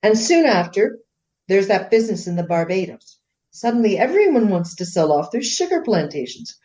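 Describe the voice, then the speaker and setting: A woman playing a proud, indignant Regency gentlewoman in a radio play, speaking formally and emphatically in defence of her husband. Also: mid-range voice, sing-song, normal speed, highs cut off, natural voice. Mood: guarded, formal.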